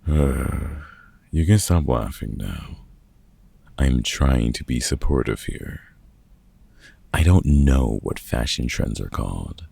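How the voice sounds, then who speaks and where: A man playing a gruff, protective, middle-aged vampire hunter mentor in a comfort ASMR audio roleplay, gravelly and husky, with a casual, teasing, sweary and halting way of talking. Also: extremely low pitch, animated, dark tone, no room sound, exaggerated voice. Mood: awkward, supportive.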